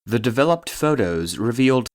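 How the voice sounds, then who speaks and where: A man's voice: medium pitch, animated, moderate pace, slight background noise, close to an everyday voice. A man playing a formal database voice in an audio drama, reading scripted exploration logs in a clinical, detached manner. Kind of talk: scripted narration.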